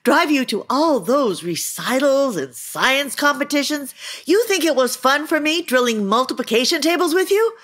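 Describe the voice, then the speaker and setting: A woman's voice: high voice, lively intonation, moderate pace, few pauses, fairly bright tone. An actress in an audio play, playing a tough, scolding middle-aged mother with a curt, sardonic manner. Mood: scolding, indignant. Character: tough, unsentimental, scolding immigrant-family mother.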